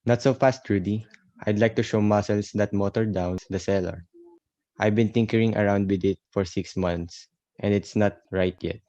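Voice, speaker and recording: A man's voice: fairly low pitch, steady tone, moderate pace, dark tone, no echo, lightly acted. A man playing a skeptical, teasing older brother in an amateur radio play. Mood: eager. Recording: dull lo-fi dry recording.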